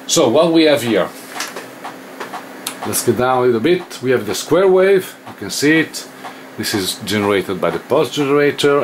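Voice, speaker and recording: A man who is not a native English speaker gives an informal hands-on technical tutorial, haltingly thinking aloud as he works. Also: on the low side, slight background noise. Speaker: electronics demonstrator.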